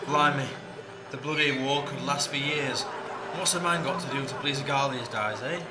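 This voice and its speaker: A man's voice: medium pitch, normal speed, over a noisy crowd, very noisy, natural voice. A man playing a flirtatious, wheedling British servant in a comic 1940s radio play, with colloquial speech. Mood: flirtatious, grumbling. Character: cheeky, amorous wartime chauffeur-servant, coaxing and wheedling.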